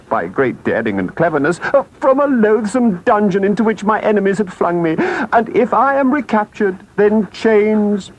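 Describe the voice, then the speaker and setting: A man's voice: high-pitched, slight background noise, pitched above the performer's own voice, low-quality recording. A man voicing a boastful, self-pitying toad in a storybook, with a melodramatic, wheedling manner.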